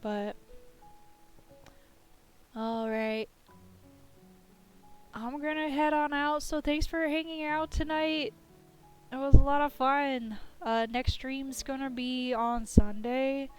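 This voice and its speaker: A woman streamer chatting casually at the end of a live game stream, her voice scratchy and going hoarse, laughing often. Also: high voice, steady tone, takes it slowly, pauses often, faint music behind.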